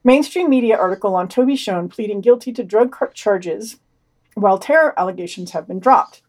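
A woman reads news summaries for a podcast in a flat, deadpan way. She adds brief wry comments after the quotes, her voice sitting at an ordinary middle pitch. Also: brisk, poor audio. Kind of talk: reading news summaries with dry asides.

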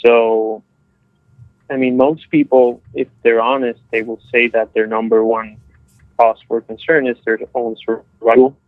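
A man's voice: medium pitch, flat delivery, measured pace, no room sound. Man on a dull, dry lo-fi line. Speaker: podcast discussion participant. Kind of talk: casual analytical discussion.

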